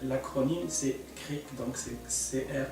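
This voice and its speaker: A man's voice: medium pitch, slow pace. A man from Morocco, an immigration consultant, earnestly explaining on a studio panel step by step, with many fillers. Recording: echoey room, mic far off.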